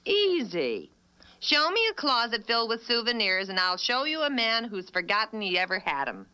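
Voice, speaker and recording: An actress voicing a confident, breezy, sly housewife in an animated sitcom. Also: female voice, fairly high pitch, normal speed, clean tone. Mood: sly confidence. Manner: breezy, self-assured. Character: confident, sly cartoon housewife, practical schemer.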